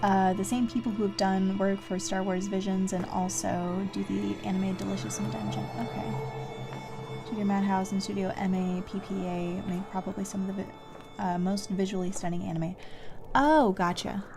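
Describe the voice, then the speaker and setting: A woman playing a puzzle game and giving casual commentary, thinking aloud haltingly and trailing off as she works things out. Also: medium pitch, fairly even intonation, creaky, music in the background. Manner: halting, trailing off while working through puzzles.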